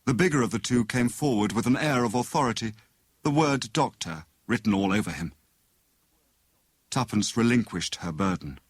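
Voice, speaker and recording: A British man narrates a detective story in a mid-pitched voice. His delivery is measured and dramatic, with a very animated range, recorded dry as an audiobook. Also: slightly rough, dry recording.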